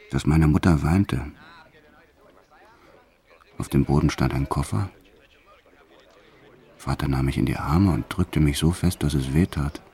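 Very deep, brooding male criminal. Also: warm tone, husky. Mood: brooding reminiscence. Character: notorious male French criminal recounting his wartime childhood. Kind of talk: dramatized first-person audio drama narration.

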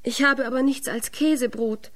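Female storyteller as flustered miller's wife, scheming, sugary, expressive, storybook character. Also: high voice, moderate pace, voice pushed up, close to an everyday voice. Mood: falsely sweet.

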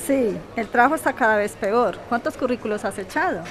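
A woman's voice: on the high side, lively intonation, brisk, natural voice. A woman acting a blunt, down-to-earth bar waitress in an audio drama. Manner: frank, plain-spoken. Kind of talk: audio fiction podcast acting. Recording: bar crowd noise behind.

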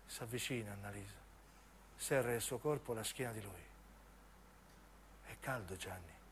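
A man narrates as a stage actor, in intense, deliberate phrases of dramatic radio storytelling. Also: lowish voice, a little hiss or hum. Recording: piano music under the voice. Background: piano and keyboard music.

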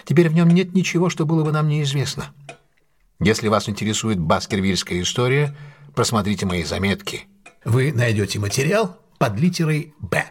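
Casting: male actor for analytical detective in an audio drama, husky voice, lively intonation, emphatic and assured explanations. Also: medium pitch, normal speed, natural voice. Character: brilliant male detective recounting a solved case, assured, analytical. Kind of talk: audio drama dialogue, expository monologue.

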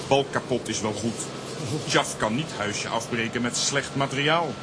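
An actor playing a gruff, laconic strongman in a children's radio play, deadpan and speaking in clipped telegraphic sentences. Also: medium pitch, normal speed, background noise, lightly acted. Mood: dryly matter-of-fact. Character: unflappable strongman, gruff, laconic, good-natured.

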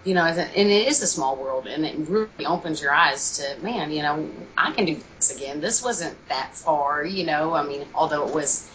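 A woman's voice: deep voice, lively intonation, moderate pace, hardly any pauses, a crowd in the background, poor audio. A young woman from Kentucky, a podcast guest chatting casually and rambling with many fillers and restarts. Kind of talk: casual interview chat.

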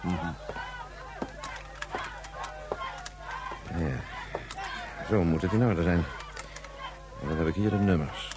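A man's voice: low-pitched, normal speed, natural voice. A man playing a worried, hesitant father in a Dutch science-fiction radio play, urgently asking for news. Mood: tense, searching. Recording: noisy radio drama recording.

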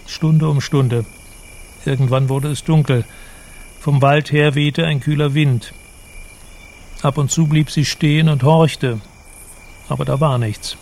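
A man narrating a literary radio drama in a measured, descriptive manner. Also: mid-range voice, gravelly, darkish tone, slight background noise. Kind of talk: literary scripted narration in German.

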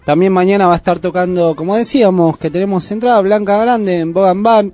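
Laid-back Argentine radio co-host, high voice. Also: male voice, a little fast, dark tone, faint music behind. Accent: Argentine Spanish. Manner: laid-back, slangy, jokey. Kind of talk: casual community radio chat.

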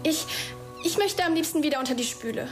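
Scared shy teen girl, high voice, hesitant but honest, radio play. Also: expressive, quick pace, over music. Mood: timid. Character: timid, frightened but candid teenage schoolgirl. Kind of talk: naturalistic radio drama acting.

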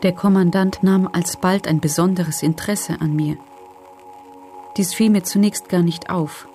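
A composed woman prisoner, played by an actress recalls camp life for a radio documentary drama. Her voice is very low. She speaks soberly and with measure, over faint music. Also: warm tone, natural voice. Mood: reflective, confiding. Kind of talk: dramatised testimony reading.

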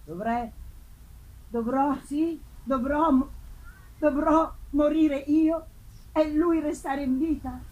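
A woman's voice: sits high, steady tone, slow pace, pauses often, a little mellow, voice pushed up, poor audio. A woman voicing an anguished, vengeful man in a solemn, impassioned, theatrical reading of a gothic novel. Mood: desperate pleading. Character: anguished, vengeful man hunting the demon who wronged him. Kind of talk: dramatic first-person gothic novel reading.

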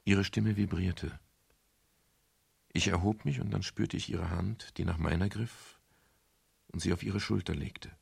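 Middle-aged private detective, very low voice, husky, measured, formal, radio crime drama. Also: male voice, fairly even intonation, darkish tone, exaggerated voice. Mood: measured, reflective. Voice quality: gravelly, husky. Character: weary, gentlemanly middle-aged private detective, narrating his story. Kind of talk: German radio crime drama narration and dialogue.

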